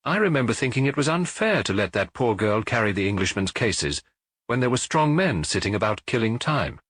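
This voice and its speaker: A man's voice: medium pitch, sing-song, fairly quick, fairly bright tone, no echo. A man voicing a sad, resentful woman schoolteacher who mumbles. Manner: mumbled, reproachful.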